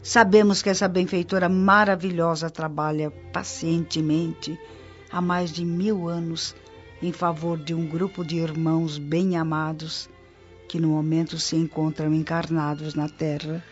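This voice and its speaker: A Brazilian actress playing a kindly, reverent female spirit helper who tells stories to a newcomer in a measured radio-drama manner. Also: low-pitched, flat delivery. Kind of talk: radio drama acting, storytelling. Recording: dull lo-fi radio drama over music.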